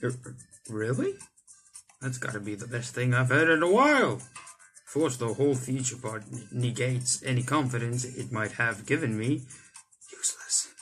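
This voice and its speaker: A young man reads a visual novel out loud in a mid-range voice. His delivery is dramatic and he trips over the odd word. Light game music plays behind him. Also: medium pitch, very animated, normal speed, low-quality recording. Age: young adult. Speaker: gaming YouTuber reading a visual novel aloud. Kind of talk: animated read-aloud of visual novel text. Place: indoor room, on webcam.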